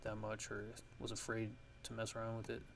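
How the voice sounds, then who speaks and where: A man giving a casual software tutorial, haltingly thinking aloud as he goes. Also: on the low side, completely flat delivery. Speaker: music production tutorial host. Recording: clear background noise.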